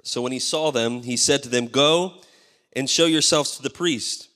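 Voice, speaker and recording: A man in his thirties, a pastor whose voice is worn and hoarse, preaching a sermon into a handheld mic and calling for amens. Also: medium pitch, normal speed, sharp tone. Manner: preaching with call-and-response, asking the crowd for amens. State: losing his voice. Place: large church stage. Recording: live church hall reverb.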